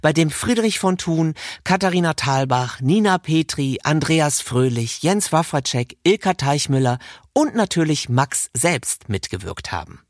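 A man's voice: fairly high pitch, dry recording. A man hosting a podcast and interviewing a guest in a recording studio. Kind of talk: scripted podcast introduction, then studio interview.

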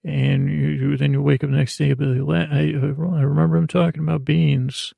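A man's voice: on the high side, level tone, normal speed, dry recording, narrow, tinny audio. A man hosting a bedtime podcast, rambling in a meandering, stammering way that trails off and changes subject. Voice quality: creaky, gravelly. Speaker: podcast host. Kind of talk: rambling bedtime monologue to help listeners sleep.

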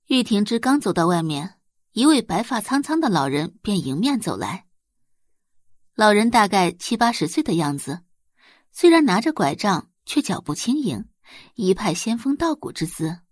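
Female audiobook narrator, very lively intonation, slightly husky, dry studio sound, dramatic storytelling. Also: mid-range voice, normal speed, dry recording. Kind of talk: dramatic scripted narration of romance fiction.

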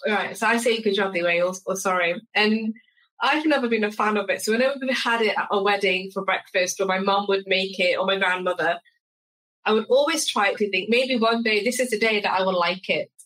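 A woman's voice: mid-range voice, brisk, fairly bright tone, rough recording. Woman podcast host, chatty and friendly, lots of yeah and mm-hmm. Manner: chatty, friendly, frequent short agreements. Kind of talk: casual interview.

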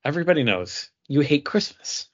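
A man's voice: fairly high pitch, very animated, fairly quick, highs cut off. A man co-hosting a podcast with casual, jokey, teasing banter. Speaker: podcast co-host.

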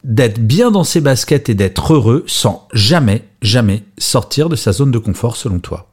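A man's voice: medium pitch, very animated, measured pace, darkish tone, slightly rough. A man with a European French accent hosting an informal discussion, chatty and playful, with emphatic phrasing. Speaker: host, moderator. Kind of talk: informal panel moderation.

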